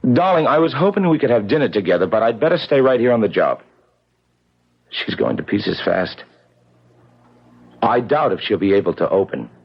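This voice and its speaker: A man's voice: medium pitch, husky. An actor playing a watchful, persuasive publicity man in a 1970s radio drama, with a businesslike way of talking. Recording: muffled, vintage 1970s radio broadcast.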